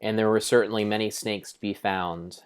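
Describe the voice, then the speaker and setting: A man giving an improvised dramatic narration of a game like an adventure storybook, with deliberate, drawn-out phrasing. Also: lowish voice, level tone, clear, resonant tone.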